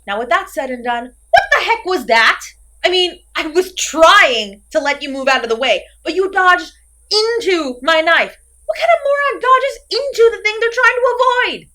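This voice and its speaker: Female slasher villain played by an actress, horror-comedy audio drama: high-pitched, very animated, sarcastic and scolding, big theatrical delivery. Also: unhurried, full character voice. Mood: grudging care. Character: theatrical, exasperated, easily ranting masked slasher killer woman.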